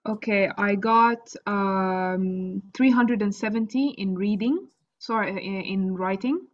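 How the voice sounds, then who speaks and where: A young woman whose first language is Arabic, a recent medical graduate answering interview questions politely and at a measured pace. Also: medium pitch, highs cut off.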